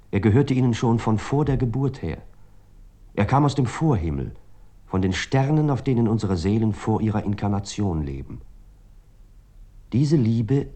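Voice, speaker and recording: A man's voice: on the low side, expressive, normal speed, warm tone. A man giving a scripted reading of literary prose. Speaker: reader of literary quotations.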